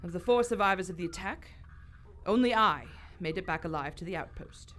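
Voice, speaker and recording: A woman's voice: on the low side, expressive, a little fast, a bit bright. A woman playing a poised, wry aristocratic British explorer in live radio theater, dry and commanding. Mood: sombre, reflective. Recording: live stage recording with faint noise.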